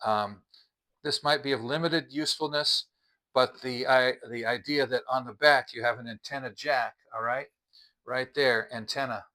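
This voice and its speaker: A man with a mid-pitched, very bright and forceful voice presents a casual product review. He talks straight to viewers in a conversational, explanatory way, as the host of his own channel. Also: fairly even intonation, moderate pace.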